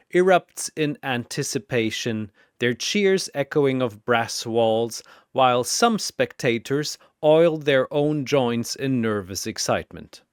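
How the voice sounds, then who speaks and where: A man delivers dramatic scripted fantasy narration. His mid-pitched voice is smooth and resonant, moving slowly and deliberately with theatrical swings of intonation. The sound is close and clean. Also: no echo.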